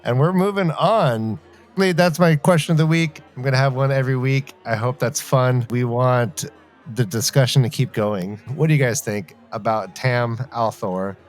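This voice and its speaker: A man's voice: mid-range voice, normal speed, faint music behind. A man co-hosting a podcast, chatting casually and debating in an emphatic, playfully argumentative way. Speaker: podcast co-host. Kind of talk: animated fan debate, casual chat.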